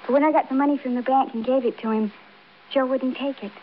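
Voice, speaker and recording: An actress playing a childlike, defiant housewife confessing in a naive, matter-of-fact way, in 1950s radio crime drama style. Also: female voice, high voice, steady tone, fast, close to an everyday voice. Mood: wistful, detached. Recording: dull, noisy old radio broadcast.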